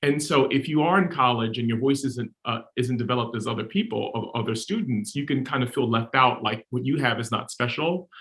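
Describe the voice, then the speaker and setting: A man's voice: medium pitch, a little fast, hardly any pauses, fairly bright tone. Thoughtful, hesitant male tenor. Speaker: opera tenor panelist. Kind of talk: panel discussion.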